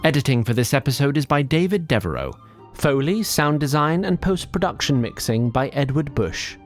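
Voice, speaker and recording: A man narrates a scripted audio drama with a deliberate, literary delivery. His mid-pitched voice moves in a lively way. Music plays clearly underneath. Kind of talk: scripted storytelling narration and credits.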